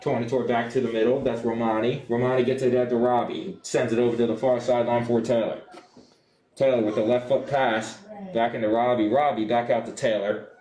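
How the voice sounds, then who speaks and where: A young man works as a radio play-by-play sportscaster on a soccer match. His pitch sits in the middle for a man, and his calls are animated and descriptive. The sound is roomy and echoey, like a live broadcast. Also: a little fast. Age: young adult.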